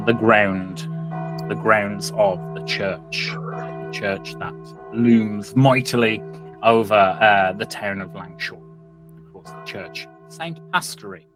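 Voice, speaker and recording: A man's voice: lowish voice, slow, music in the background, poor audio. A British man hosting and narrating a tabletop roleplaying game as game master, feeling his way through sentences with self-corrections. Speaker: game master and podcast host.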